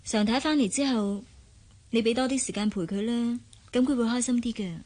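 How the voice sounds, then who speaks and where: A woman playing a gentle, playful young auntie in a radio drama. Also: on the high side, moderate pace, close to an everyday voice. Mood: earnest.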